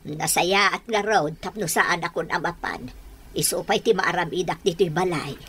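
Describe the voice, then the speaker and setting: A woman with an Ilocano Filipino accent playing a gentle, thrifty middle-aged mother in a radio drama. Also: fairly low pitch, moderate pace, a little hiss or hum, natural voice.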